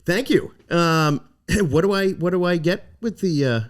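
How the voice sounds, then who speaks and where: A man's voice: high voice, unhurried, clear, resonant tone. A man guests on a casual podcast. His manner is playful and easygoing, and he wanders through his stories with plenty of ums and restarts. He talks from a home basement game room. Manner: playful, easygoing, hesitant with fillers. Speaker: guest, real estate agent. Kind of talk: casual chat and storytelling.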